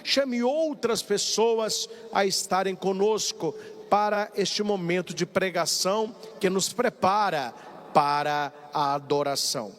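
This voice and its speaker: Man, priest preaching, high voice, echoing church. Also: takes it slowly, slight background noise. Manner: animated storytelling, acts out dialogue. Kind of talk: live-broadcast sermon with announcements. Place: large church sanctuary. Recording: long church reverb.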